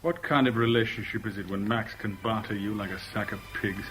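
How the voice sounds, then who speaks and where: A man's voice: lowish voice, steady tone, brisk, a bit bright, a little hiss or hum, natural voice. An actor playing a terse, resolute Englishman in a 1970s radio drama, with an English accent and a clipped, serious manner. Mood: bitter, exasperated. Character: respectable Englishman, terse, resolute, embittered by love. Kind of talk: 1970s British radio drama acting and first-person narration.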